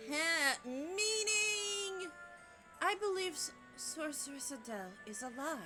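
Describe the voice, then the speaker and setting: High, lively-voiced woman gamer. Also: slow pace. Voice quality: light, youthful voice she compares to a teen boy's. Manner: chatty, self-deprecating, with frequent laughs. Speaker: let's play narrator. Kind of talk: casual gameplay commentary. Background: game music.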